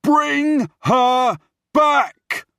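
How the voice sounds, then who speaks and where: A man's voice: mid-range voice, expressive, very slow, no echo, clean studio sound, pitched above the performer's own voice. Man as a raised-voice, imperious prince. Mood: furious commanding. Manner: commanding, forceful, impatient. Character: imperious, desperate, hot-tempered prince giving orders. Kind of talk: audiobook character dialogue.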